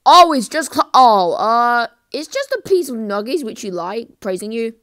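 An amateur voice actor delivering exaggerated, exclamatory web cartoon lines, swinging between muttering and yelling. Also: mid-range voice, big pitch swings, normal speed, smooth, no room sound, studio quality.

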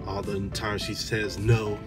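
A man in his thirties talking casually in a parked car, relaxed and chatty with lots of "um"s, recorded on a dashboard camera. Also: lowish voice, measured pace, over loud music. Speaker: vlogger, father. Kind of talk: casual vlog chat.

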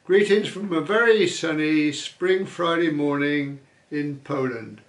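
A man hosting a vlog, reading viewer comments aloud and musing on them in an easygoing way, often pausing with "uh". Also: fairly high pitch, echoey room. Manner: chatty, musing aloud, many "uh" fillers. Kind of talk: casual chat.

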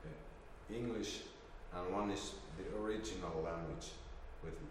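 A middle-aged man presents informally at a seminar, hesitant and halting. His pace is slow, and he is picked up by a distant microphone with long reverb around his voice. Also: sits low. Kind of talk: informal presentation with slide demonstration. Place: indoor seminar room with projector screen. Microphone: distant camera microphone. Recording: roomy, echoey, distant mic, faint noise.

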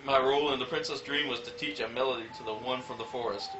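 A man voicing a stern female bodyguard from a video game in a deadpan, crude parody dub. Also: mid-range voice, quick pace, natural voice. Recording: roomy, dull lo-fi with game music.

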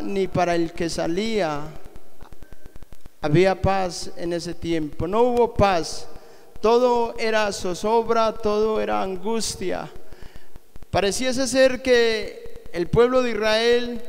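A middle-aged male preacher with a high, smooth voice delivers an unhurried, fervent sermon. He leans on repeated pleading phrases into a handheld mic. The sound rings with the long reverb of a church hall. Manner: fervent, repetitive pleading prayer, emphatic phrasing. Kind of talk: Pentecostal-style sermon with prayer. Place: small church hall with band instruments. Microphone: handheld microphone.

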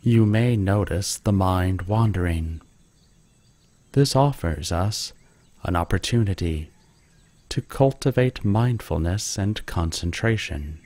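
Low, slow male meditation guide. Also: no room sound. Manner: gentle, calm instruction.

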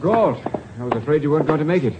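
Actor as animated American politician, embattled father. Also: fairly high pitch, rapid-fire, lightly acted. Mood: tense, gruff. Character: embattled older politician father, brave war veteran. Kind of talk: 1950s American radio drama acting. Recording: dull, faintly noisy vintage radio broadcast.

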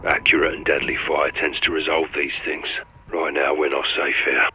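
An actor playing a stoic, dry-witted special forces lieutenant in a video game, speaking tersely and deadpan over military radio. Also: male voice, very deep voice, moderate pace, breathy edge, lo-fi sound, full character voice. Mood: terse, calm.

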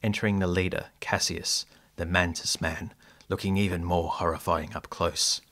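A man's voice: sits low, steady tone, moderate pace, a bit bright. A man playing an earnest companion who narrates an adventure in an audio drama. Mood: suspenseful narration. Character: male companion and storyteller, earnest, easily frightened.

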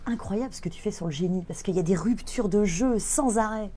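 A woman's voice: medium pitch, fast talker, noisy background. A woman interviewer asking enthusiastic, admiring questions, seated in a lounge.

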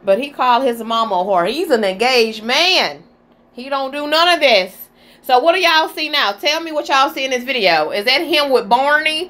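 A woman's voice: sits high, expressive, moderate pace, a bit bright. A woman giving casual reaction commentary on gossip, sassy and exclamatory, talking straight to her listeners.

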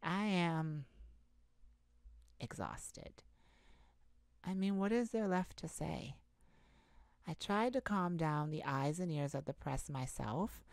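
A woman acting an exhausted, anxious pop star confiding haltingly in a bodyguard lover, in an intimate ASMR roleplay. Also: sits low, a little mellow, no echo, studio quality, exaggerated voice. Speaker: audio roleplay performer.

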